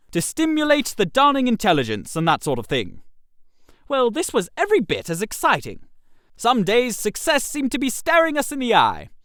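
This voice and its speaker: A man reads a comic story in a high, very animated voice. He is chatty and expressive, playing the idle gentleman telling his own tale, and the sound is close and dry. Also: no echo. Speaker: audio story narrator. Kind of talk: scripted comic first-person story narration.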